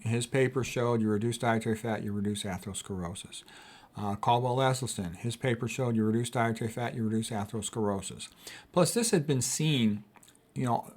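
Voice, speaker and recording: A man, a physician, explains points in an informal lecture, with an emphatic, opinionated manner and plain repetition. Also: lowish voice. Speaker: physician presenting health lecture, author. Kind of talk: informal explanatory lecture reading and commenting on quotes.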